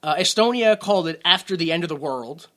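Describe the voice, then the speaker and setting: A man's voice: a little high, lively intonation, fairly quick, pushed and bright. A man co-hosting a casual movie podcast, rambling and joking, laughing often. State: has a headache. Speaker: podcast co-host.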